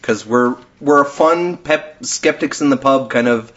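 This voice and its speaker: A man's voice: medium pitch, unhurried, fairly bright tone. A man hosting a podcast in casual chat, explaining things in a talkative way with frequent chuckles. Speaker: podcast host. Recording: dull lo-fi podcast recording.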